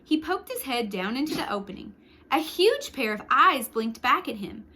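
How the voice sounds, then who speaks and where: A woman reading a picture book aloud to young children, expressively, with short hushed asides to the listeners. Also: high-pitched, normal speed. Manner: expressive, with hushed whispered sound words and asides. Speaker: storytime reader for young children.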